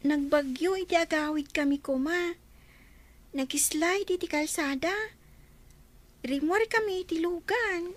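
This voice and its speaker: Timid sad little girl in a radio play, super high voice, talks slow. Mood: sorrowful, timid. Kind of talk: radio drama acting.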